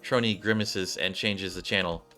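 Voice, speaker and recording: A man with a fairly low but bright voice reads game cutscenes aloud like a narrator. He drops in casual asides and rereads lines he trips on. Game music plays behind him. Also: steady tone, moderate pace. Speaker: gaming streamer reading story scenes aloud.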